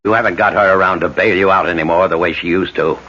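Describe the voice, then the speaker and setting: A man's voice: low voice, fairly even intonation, quick pace, bright, forceful tone. An actor playing a stern, unyielding older father in an old radio drama, commanding and scornful. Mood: cold, scornful. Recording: dull old radio recording.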